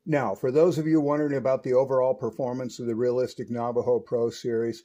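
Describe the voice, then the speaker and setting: A man with a medium-pitched voice presents a hands-on electronics review. He explains things in a methodical, technical way, moving from one detail to the next like someone demonstrating at a bench. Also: little pitch movement, quick pace, warm tone. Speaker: presenter of radio equipment reviews.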